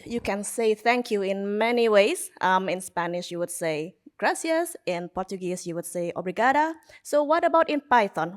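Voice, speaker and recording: Woman giving a playful conference talk. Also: mid-range voice. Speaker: conference speaker. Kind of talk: playful prepared lightning talk. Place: conference stage.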